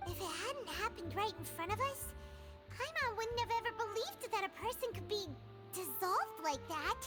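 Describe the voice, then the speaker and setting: A woman voicing a small, childlike, excitable fairy companion in an anime video game, with a squeaky voice. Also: moderate pace. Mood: curious. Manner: refers to self in third person, exclamatory. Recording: loud game music behind.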